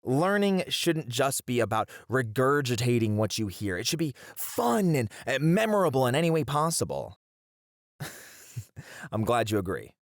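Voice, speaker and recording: A man playing a passionate, playful college teacher with dramatic, expressive phrasing in an ASMR roleplay. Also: mid-range voice, a little slow, no room sound, clean studio sound. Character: flirty, theatrical, passionate male college teacher.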